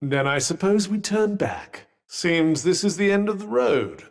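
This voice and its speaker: Haughty enchanted cuff, British accent, sarcastic, pompous, widely swooping intonation, slightly high voice, video game companion. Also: male voice, big pitch swings, a little slow, vocal fry, full character voice. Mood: wary, dry. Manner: dry, pompous, sarcastic, theatrically exasperated. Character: sardonic, haughty talking magical cuff, male companion. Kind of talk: scripted game character lines.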